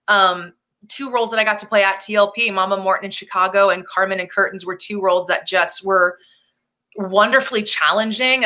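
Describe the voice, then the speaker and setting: A woman in her thirties with a bright, ringing voice talks casually as an interview guest. She is upbeat and chatty, laughs easily and fills her pauses with ums. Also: medium pitch. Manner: chatty, upbeat, laughs often, many fillers. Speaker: actor and singer, interview guest.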